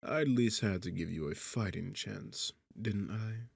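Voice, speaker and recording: A man acting a gravelly, sarcastic demon king. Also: measured pace, dry recording, studio quality, full character voice. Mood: amused, condescending. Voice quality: low, gravelly, husky. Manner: mocking, teasing, condescending, theatrical. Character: sarcastic, smug demon king toying with a captured hero. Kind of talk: ASMR roleplay audio drama.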